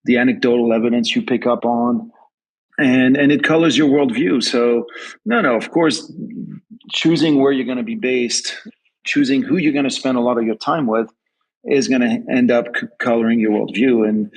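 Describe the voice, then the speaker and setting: A man's voice: medium pitch, low-quality recording. A man from France with a slight French accent, answering interview questions thoughtfully and often repeating words as he thinks aloud. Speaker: guest investment strategist.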